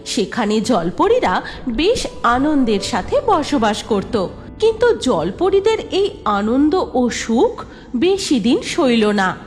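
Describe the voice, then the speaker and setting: A woman narrating a cartoon fairy tale in a measured storytelling way. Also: on the high side, big pitch swings, faint music behind.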